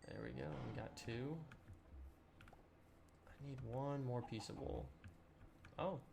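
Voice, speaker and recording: A young man with a slightly raspy, sore voice, chatting casually and rambling through a let's-play with lots of ums. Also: medium pitch, slow, background noise. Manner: relaxed, rambling with many ums and throat clearing. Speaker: gaming channel host.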